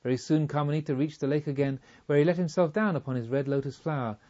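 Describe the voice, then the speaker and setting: A man narrating a literary audiobook in a measured, expressive storybook manner. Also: a little high, highs cut off.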